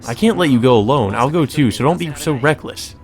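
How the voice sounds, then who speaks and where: A man playing an earnest, excitable schoolboy hero. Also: mid-range voice, brisk, faint music behind, voice pushed up, natural voice. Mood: alarmed. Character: earnest, easily flustered teenage schoolboy hero. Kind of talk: visual novel character read-aloud.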